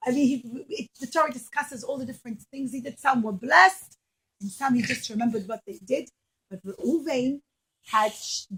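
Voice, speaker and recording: A woman teaching as an animated storyteller for children, speaking simply and emphatically and putting questions to her listeners. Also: fairly high pitch, husky, poor audio.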